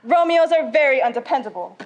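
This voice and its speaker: A young woman playing a gracious, theatrical Victorian actress in a high school stage play, with polite, expressive delivery. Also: brisk. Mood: theatrically exasperated.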